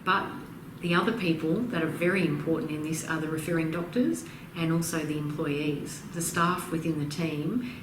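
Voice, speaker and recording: A woman consultant in an interview, explaining in a measured, emphatic way and listing her points one by one. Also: deep voice. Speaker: practice consultant and author being interviewed. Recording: roomy, distant mic, noisy background.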